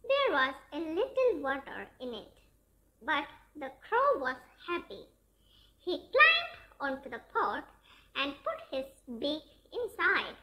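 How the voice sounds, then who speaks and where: A storyteller narrating scripted fairy tales and fables for children in an expressive, deliberate, sing-song manner. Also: sits high.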